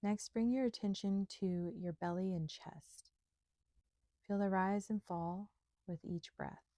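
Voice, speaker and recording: A woman instructor leading a guided meditation in a gentle, soothing, unhurried manner with pauses. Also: medium pitch, fairly even intonation, no room sound.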